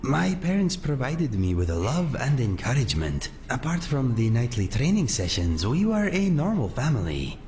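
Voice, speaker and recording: A man playing a gentle, courteous cat-folk sellsword in a fantasy game, speaking in measured, formal phrases without contractions. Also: medium pitch, big pitch swings, slight background noise. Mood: wry, reminiscing. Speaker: companion character in a fantasy video game. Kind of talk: scripted game dialogue. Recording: faint music under the voice.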